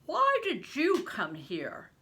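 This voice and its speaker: An older woman voicing grim male conspirators who speak slowly and heavily. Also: sits high, huge pitch swings, sharp tone, breathy edge, voice pushed up, exaggerated voice. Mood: grave.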